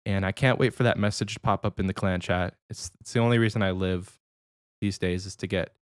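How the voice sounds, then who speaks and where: Podcast co-host with a cold, slightly nasal man, low-pitched, rambling easy chat, dry close sound. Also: fairly even intonation, fairly quick, smooth, no room sound. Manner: rambling, easygoing, many restarts.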